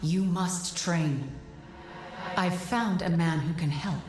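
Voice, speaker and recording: An actress playing a solemn, wise guide in a fantasy video game, with a measured, formal and authoritative delivery. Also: female voice, lowish voice. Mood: firm counsel. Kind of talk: dramatic video game acting. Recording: roomy echo, background music.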